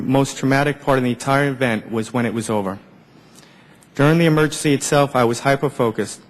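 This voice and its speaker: A man reading a prepared statement in a measured, formal way, as an air traffic controller giving testimony at a hearing. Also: mid-range voice. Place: large indoor government hearing room. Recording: echoey hearing room with long reverb.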